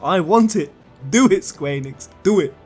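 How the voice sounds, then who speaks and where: A man's voice: high voice, lively intonation, normal speed, slightly rough, soft background music. A British man hosting casual fan commentary, talking to viewers in an emphatic, chatty, enthusiastic way. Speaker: video host.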